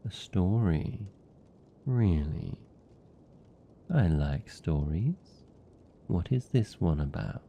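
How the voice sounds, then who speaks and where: A man's voice: low voice, animated, warm tone, slight background noise, heavily acted. A British man playing a seductive, possessive vampire captor in an intimate fantasy ASMR roleplay, teasing and condescending in manner. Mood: amused, teasing. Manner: slow, teasing, condescending, velvety menace.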